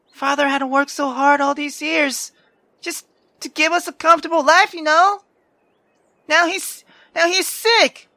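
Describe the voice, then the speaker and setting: A man's voice: very high voice, sharp tone, no room sound, exaggerated voice. A man voicing a feisty, indignant young woman from a visual novel, sarcastic and dramatic. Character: feisty, sharp-tongued young woman venting her grievances. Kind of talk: visual novel character reading.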